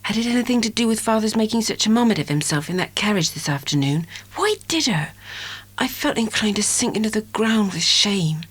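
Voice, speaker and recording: Anxious village girl, teen, breathy n emotional, swoopy pitch, audiobook drama. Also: animated, fairly quick. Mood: ashamed. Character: earnest, impetuous, sensitive teenage country girl.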